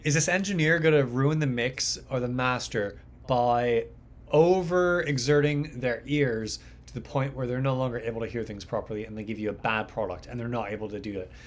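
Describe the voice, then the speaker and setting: A man's voice: medium pitch, normal speed. A young man hosting a live mixing stream, chatting casually and rambling with lots of fillers and repeated words. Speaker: livestream host, mixing engineer. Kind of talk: casual livestream talk.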